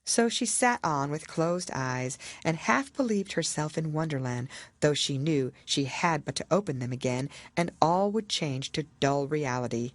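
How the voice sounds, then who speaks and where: A woman narrating a children's classic as an audiobook, in a measured, expressive storytelling manner. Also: sits low, no room sound. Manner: expressive, measured literary reading.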